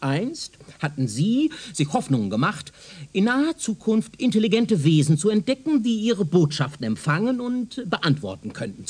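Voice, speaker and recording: A man acting a busy, flattered older professor in a radio drama, speaking in a formal, lecturing way. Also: medium pitch, close to an everyday voice.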